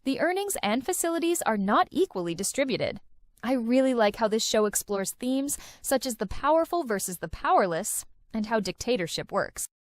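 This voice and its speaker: A woman's voice: a little high, sing-song, moderate pace, slight background noise. A woman narrating a countdown of film and series recommendations in an enthusiastic, recommending tone. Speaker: video host. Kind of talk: scripted list-video narration.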